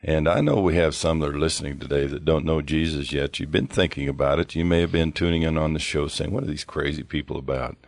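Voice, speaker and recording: Very deep-voiced male radio preacher. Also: quick pace, gravelly, hardly any pauses, no room sound. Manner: earnest, preaching to listeners. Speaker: radio show host.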